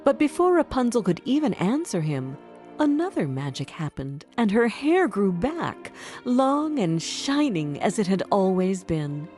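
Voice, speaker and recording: A woman storyteller giving scripted fairy-tale narration for children in an expressive, deliberate way. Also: medium pitch, soft background music.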